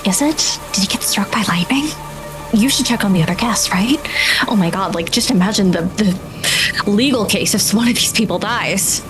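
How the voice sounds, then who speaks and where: A woman's voice: mid-range voice, normal speed, over music, rough recording. A woman narrating a tabletop horror roleplay as game master, addressing the players directly.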